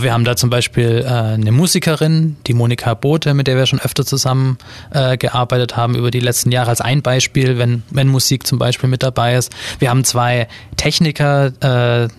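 A man from an amateur theatre group explaining their work as a radio guest, steady and explanatory with occasional fillers. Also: medium pitch, dry recording.

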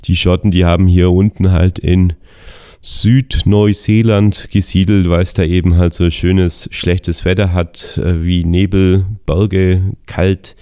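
A young man with a low, gravelly voice tells his travel diary at a loose, rambling pace, full of fillers and restarts. His tone is dark and mellow, and the recording is close and dry. He sounds like someone just getting over the flu. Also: dry recording. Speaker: travel vlogger on a bicycle tour. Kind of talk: casual spoken travel diary.

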